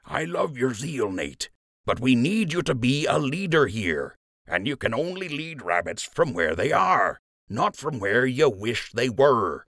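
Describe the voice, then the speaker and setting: Man voicing an earnest, measured old rabbit mentor. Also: mid-range voice, very animated, a bit bright, no room sound, studio quality, pitched above the performer's own voice, exaggerated voice. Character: aged male rabbit archery mentor, earnest and authoritative. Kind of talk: audiobook character acting.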